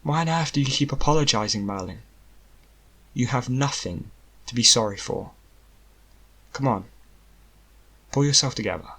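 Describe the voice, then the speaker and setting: A man voicing a commanding but caring young king in an audiobook, firm, brisk and reassuring. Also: medium pitch, expressive, fairly bright tone, breathy edge, voice pushed up. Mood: firm, exasperated. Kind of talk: audiobook character voice.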